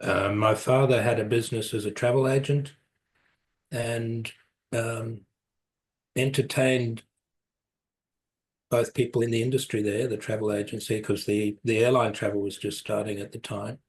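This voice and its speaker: A man's voice: mid-range voice, level tone, normal speed, pauses often. A man, telling his life story as an interview guest, rambling and correcting himself with light chuckles. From South Africa, raised in New Zealand. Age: 70s.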